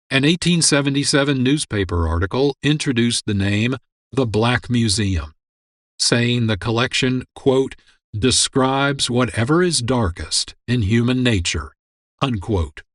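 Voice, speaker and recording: A man giving measured, scripted documentary-style narration as a program host. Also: medium pitch, dry recording, clean studio sound. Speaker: producer and host.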